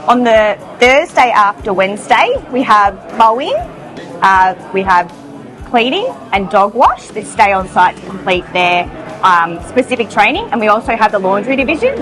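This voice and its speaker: A young woman, a training manager with a loud, bubbly way of talking, answering interview questions. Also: mid-range voice. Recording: music bed under the talk.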